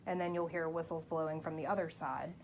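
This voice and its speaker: A woman, a local parent, making her point in an earnest, measured and firm way during a group talk. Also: low-pitched, steady tone, a little mellow, slight background noise. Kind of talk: casual group discussion.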